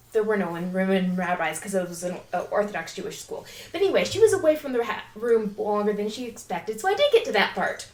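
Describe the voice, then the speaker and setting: A woman with a fairly high voice chats as a panelist, recounting things anecdotally. She sounds some way from the microphone in a reverberant room. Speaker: podcast panelist. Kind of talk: anecdotal casual chat. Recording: echoey room, distant from mic.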